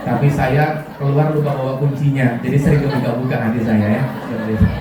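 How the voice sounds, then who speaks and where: A man's voice: medium pitch, little pitch movement, quick pace, distant mic, crowd noise, noisy background. A man with a Javanese accent giving a joking religious parenting talk to parents, often quizzing them with questions. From Kulon Progo, Yogyakarta, Indonesia. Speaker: parenting speaker. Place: school hall. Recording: very echoey hall, voice from afar.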